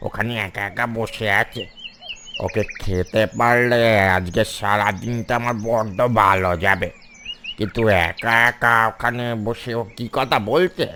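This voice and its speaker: A man's voice: fairly low pitch, bright, forceful tone, a little hiss or hum. A man voicing a sly, greedy cartoon fox with a wheedling, falsely sympathetic manner. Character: sly, greedy male fox coaxing his prey.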